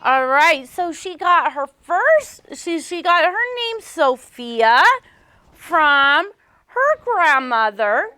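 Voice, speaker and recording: Female primary teacher for a read-aloud lesson. Very high, lively, bright voice, slow and emphatic, questioning young pupils. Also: dry recording. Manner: animated, simple questions with emphatic repetition for small children.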